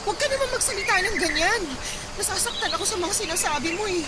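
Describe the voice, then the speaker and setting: A woman's voice: extremely high pitch, lively intonation, quick pace, heavy background noise. An actress playing a guilt-ridden wife and mother in a radio drama, earnest, emotional and pleading yet resolute. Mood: hurt, defensive. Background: loud background music.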